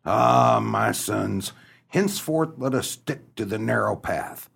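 An actor playing an old, folksy, pious farmer father in a comic radio melodrama, with a gravelly, husky voice. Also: lowish voice, lively intonation, slow pace, fairly bright tone, full character voice.

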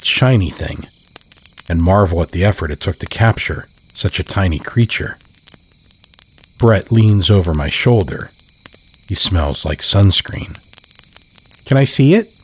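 A man narrating scripted horror fiction as a storyteller, solemn and measured. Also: low voice, breathy edge. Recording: faint night ambience with crickets.

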